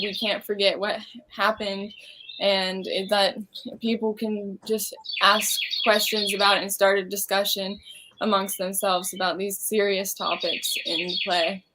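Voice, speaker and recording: Even-toned woman with noisy birdsong behind. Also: medium pitch, slow pace. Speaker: stage play cast member, interview guest. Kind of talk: thoughtful interview answer.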